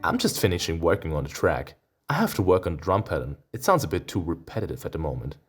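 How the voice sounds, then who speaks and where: A man's voice: low-pitched, big pitch swings, fast, slightly rough, heavily acted. A man voicing a small, friendly young male wolf musician in a visual novel, casual and a little hesitant. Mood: relaxed, friendly. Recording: music behind the voice.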